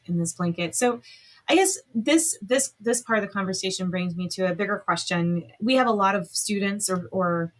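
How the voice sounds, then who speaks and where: A woman hosts a podcast in a loose conversational way, with a voice of ordinary pitch. She drops into small acted-out asides as she talks. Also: normal speed. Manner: conversational, acts out little asides. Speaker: podcast host.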